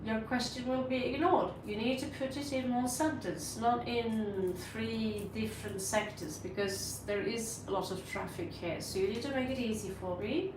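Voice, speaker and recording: A woman's voice: fairly high pitch, moderate pace, few pauses, recorded from a distance. A woman with a Norwegian accent hosting a tarot livestream, talking casually and bluntly to her chat, with sarcastic asides. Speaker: tarot reader livestream host. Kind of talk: casual live-stream chat answering viewers. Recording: echoey room, mic set back, audible noise.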